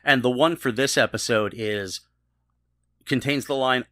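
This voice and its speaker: A man co-hosting a podcast in casual chat, haltingly repeating words as he starts. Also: mid-range voice, fairly bright tone, no room sound, clean studio sound. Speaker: podcast co-host. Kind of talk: casual chat, TV episode discussion.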